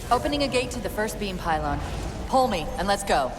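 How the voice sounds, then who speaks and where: A woman playing a quick-witted, wry artificial intelligence companion in a video game, with crisp technical delivery. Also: mid-range voice, animated, noisy background, natural voice. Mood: analytical, wry. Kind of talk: video game cutscene acting.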